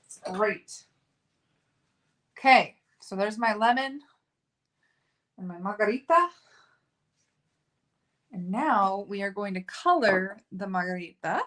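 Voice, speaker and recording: Slow, chatty woman demonstrating cards. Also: medium pitch, animated, a bit bright. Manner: chatty, self-narrating, laughs at own slips. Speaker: card-making demonstrator. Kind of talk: casual live craft tutorial.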